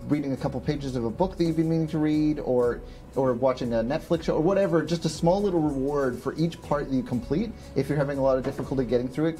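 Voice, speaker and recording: A man's voice: mid-range voice, warm tone. A man on a livestream panel talking casually and offering practical encouragement. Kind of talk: casual, encouraging advice chat. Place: indoor livestream studio, on a couch. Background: faint music.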